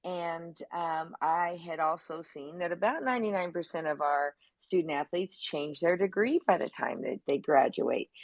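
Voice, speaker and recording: Low-voiced American woman hosting. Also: no echo. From United States, New Jersey. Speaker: host, student athlete advocate. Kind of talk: unscripted panel hosting.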